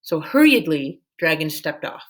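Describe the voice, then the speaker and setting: A woman reading a children's novel aloud as a storyteller, in a measured, deliberate way. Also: low voice, very animated.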